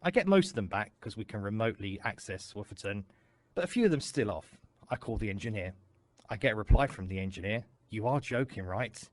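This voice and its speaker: A man's voice: fairly low pitch, animated, brisk, no echo. A middle-aged man telling an anecdote in short, dramatic phrases. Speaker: radio control room staffer. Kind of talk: storytelling, recorded reminiscence.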